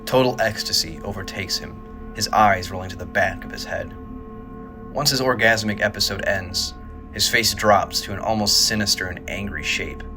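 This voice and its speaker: Male narrator for audio drama, lower register, measured dramatic storytelling, scripted read over prominent music. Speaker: narrator, writer and director.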